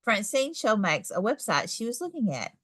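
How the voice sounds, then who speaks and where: Animated woman reading a children's story. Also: a little high, normal speed, dry recording, studio quality. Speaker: read-aloud storyteller. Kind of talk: children's picture book narration.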